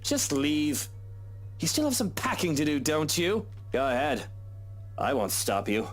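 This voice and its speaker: A man's voice: on the high side, huge pitch swings, unhurried, soft background music, heavily acted. A man voicing a stubborn husband in a game, speaking in a curt, dismissive way. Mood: cold.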